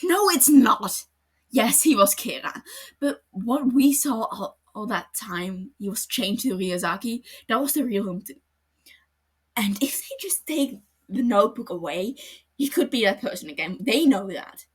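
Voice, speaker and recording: A woman voicing an earnest young male detective who is appalled and pleading, in a higher voice than her narration. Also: animated, measured pace, husky. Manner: pleading, appalled, raised pitch. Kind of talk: podfic character reading.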